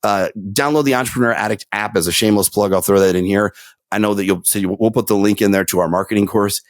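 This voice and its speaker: A man's voice: lowish voice, fast, no room sound, clean studio sound. A man telling stories in a casual podcast conversation, with many fillers and restarts. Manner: storytelling, animated, many fillers.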